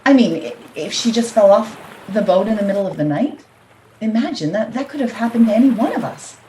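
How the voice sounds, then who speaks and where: A woman's voice: mid-range voice, expressive, brisk, warm tone, natural voice. A woman playing a fretful, self-important businesswoman in a radio play, speaking dramatically. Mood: dismayed. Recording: noticeably noisy recording.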